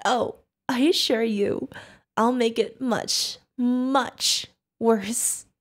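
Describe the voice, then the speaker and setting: A high-voiced, slow, giggly yandere killer. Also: female voice, steady tone. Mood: gloating, threatening. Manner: creepy, giggling, teasing singsong, drawn-out threats. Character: insane tall female yandere killer, giggling, sadistic, mockingly sweet. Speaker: voice actor. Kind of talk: ASMR roleplay acting.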